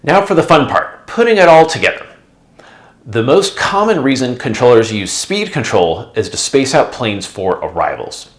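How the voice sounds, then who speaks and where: A man's voice: medium pitch, sing-song, moderate pace. A man narrating a scripted explainer in a didactic, conversational way. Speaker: presenter, former air traffic controller.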